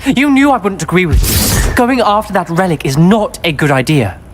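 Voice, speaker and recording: A man's voice: sits high, animated, normal speed, noisy background. A man voicing a wary, reproachful teenage schoolboy wizard in a video game, speaking in a grave, precise, admonishing way. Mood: reproachful, worried.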